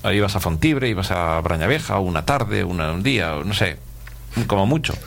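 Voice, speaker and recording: A man's voice: low-pitched, expressive, moderate pace, vocal fry, a bit bright. A middle-aged man with a Castilian Spanish accent, interviewing as a radio host in a chatty, rambling way and often ending phrases with a questioning tag. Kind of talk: casual conversational interview.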